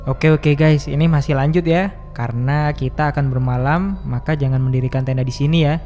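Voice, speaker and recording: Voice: medium pitch, fairly even intonation, brisk, mellow, soft background music, close to an everyday voice. Seorang pengisi suara memerankan pemimpin rombongan pendaki yang memberi arahan dan menenangkan teman-temannya. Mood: calm. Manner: reassuring, instructive. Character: calm hiking group leader. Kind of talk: animated horror story voice acting.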